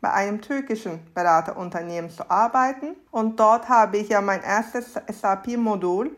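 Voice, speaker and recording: A woman in her mid-thirties speaks with a Turkish accent as the guest in an interview. Her pitch is average for a woman. She talks conversationally, with giggles slipped in between phrases. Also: moderate pace. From Istanbul, Turkey. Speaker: podcast guest, SAP consultant.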